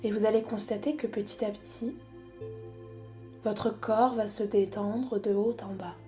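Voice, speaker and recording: Soft mellow woman guiding relaxation over music. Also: mid-range voice. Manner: calm, unhurried, soothing, with long pauses. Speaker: psychologist guiding a relaxation exercise. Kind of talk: guided body scan meditation.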